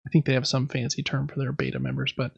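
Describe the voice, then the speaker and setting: A man's voice: mid-range voice, monotone, fast talker, creaky, husky, dry recording, muffled recording. A man co-hosting a podcast, talking through gaming news in a casual, conversational way. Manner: conversational, loosely reading through news items. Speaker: podcast co-host.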